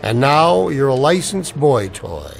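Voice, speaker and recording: A man's voice: mid-range voice, sing-song, unhurried, vocal fry. An actor playing a wry, wary ex-soldier down on his luck, with dry, sardonic banter in a radio play. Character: wry, wary unemployed ex-Ranger, expectant father. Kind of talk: radio play dialogue in homage to old radio dramas.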